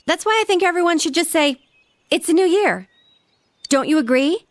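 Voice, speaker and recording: A woman's voice: very high-pitched, lively intonation, normal speed, dry recording. A woman playing a cute, pampered teenage girl in a video game, blunt and complaining with a demanding, coquettish streak. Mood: casual, contrary. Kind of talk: video game dialogue acting.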